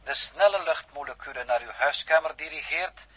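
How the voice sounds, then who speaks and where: A man's voice: a little high, normal speed, a bit bright, husky. A male actor playing a mysterious, erudite renegade scientist in a 1960s Dutch radio drama, speaking with a Netherlands accent in a pedantic, elaborately polite and precise way. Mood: lecturing.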